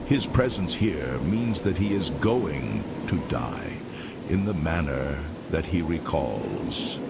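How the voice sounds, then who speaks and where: A gravelly, ancient damned priest. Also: male voice, lowish voice, very noisy, full character voice. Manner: slow, solemn, drawn-out delivery. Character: ancient damned former priest, weary and lost. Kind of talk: game character dialogue. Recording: loud rain and thunder behind.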